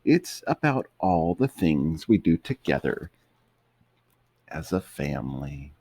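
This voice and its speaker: A man voicing a gentle, loving female dog in a bedtime storybook reading, lifting his very low voice higher for her. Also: very animated, slow, gravelly, slightly rough, exaggerated voice. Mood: tender.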